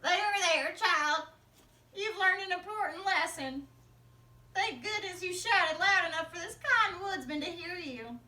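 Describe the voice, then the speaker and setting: A woman's voice: extremely high pitch, expressive, unhurried, sharp tone, voice pushed up, full character voice. A woman voicing a kindly, fretful old grandmother in a puppet show. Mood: comforting. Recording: echoey room, distant from mic.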